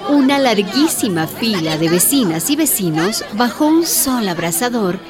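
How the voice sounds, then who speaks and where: A woman's voice: mid-range voice, sing-song, measured pace, a loud crowd. A woman giving scripted storytelling narration for a radio drama.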